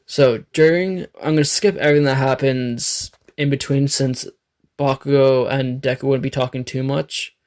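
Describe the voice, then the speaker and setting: Raspy dry-throated guy making up a fan story as he goes, rambly, swears some, home mic. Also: mid-range voice, steady tone, moderate pace, darkish tone. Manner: rambling, self-correcting, swears. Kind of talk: improvised fan story narration.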